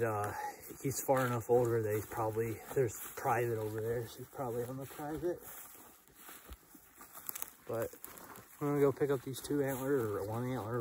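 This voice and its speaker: A young man with a slightly raspy voice, vlogging casually and quietly to a handheld camera outdoors in open hills, often trailing off, with sudden bursts of excitement. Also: medium pitch, background noise. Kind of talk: casual solo vlog narration.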